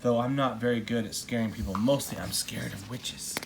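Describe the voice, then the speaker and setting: A man's voice: medium pitch, a little fast, creaky, lightly acted. A man voicing a timid, lonely little girl witch who doubts herself, in a storybook reading. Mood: self-doubting. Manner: meek, self-doubting. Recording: noisy home recording.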